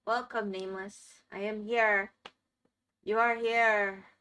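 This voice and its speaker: Woman game streamer, bright tone gone a bit hoarse from losing her voice, chatty with viewers. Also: medium pitch, little pitch movement, slow, slight background noise. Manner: chatty, reads and answers chat. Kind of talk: casual live-stream chat.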